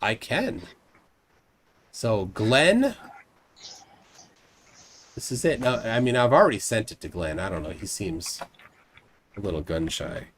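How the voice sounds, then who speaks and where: A man's voice: lowish voice, big pitch swings, unhurried, long pauses. A man with a Minnesotan accent hosting a casual late-night call-in show, rambling and restarting his sentences. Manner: rambling, self-interrupting, chuckling.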